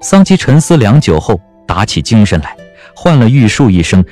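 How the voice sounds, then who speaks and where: A man's voice: on the low side, very animated, moderate pace, mellow. A man narrating a scripted audio drama in a dramatic storytelling style. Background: background music.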